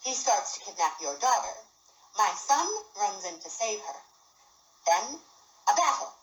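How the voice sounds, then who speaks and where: A woman playing an eager, excitable scheming father in an amateur audio drama, speaking emphatically. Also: medium pitch, a little slow, echoey room, pitched above the performer's own voice, poor audio. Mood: excited, plotting.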